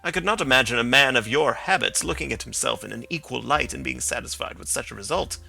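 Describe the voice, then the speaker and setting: A man reading aloud as a sharp, confident middle-aged detective who lectures with precise, assured reasoning. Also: mid-range voice, expressive, fast talker, gravelly, soft background music, exaggerated voice.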